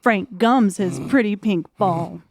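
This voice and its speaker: A woman's voice: medium pitch, slow, dry recording. A woman reading scripted, dramatic audiobook narration.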